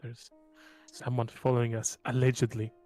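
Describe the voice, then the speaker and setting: A man's voice: mid-range voice, level tone, normal speed, mellow. A man playing a tabletop roleplaying game, talking casually in character in a blunt, sarcastic way. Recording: music behind the voice.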